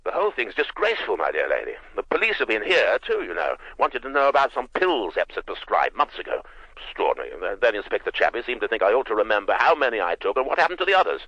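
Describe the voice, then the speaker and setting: An actor playing a pompous, indignant older English knight with an upper-class accent, blustering and stammering through a telephone in a radio drama. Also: male voice, fairly low pitch, very animated, fast, bright, forceful tone, no echo, highs cut off, heavily acted. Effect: telephone filter. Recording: thin band-limited telephone-style sound.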